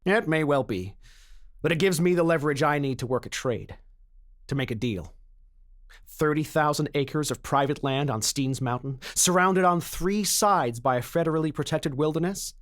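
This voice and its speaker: An actor playing a slick, confident mining company banker, a smooth-talking dealmaker in an audio drama. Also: male voice, a little high, moderate pace, close to an everyday voice. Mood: persuasive.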